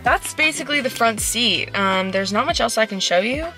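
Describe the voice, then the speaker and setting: A woman's voice: on the high side, lively intonation, normal speed, sharp tone, faint music behind, rough recording. A young woman giving a casual, bubbly tour of her car, filming with a handheld phone while sitting in the parked car. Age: young adult. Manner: chatty, bubbly, giggly. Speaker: vlogger. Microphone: handheld phone camera.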